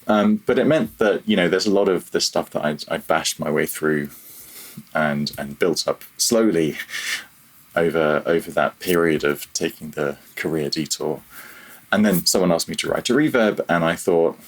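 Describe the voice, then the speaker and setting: A man talking reflectively and casually as an interview guest, halting and self-deprecating as he goes. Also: low voice. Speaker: podcast guest, freelance audio programmer.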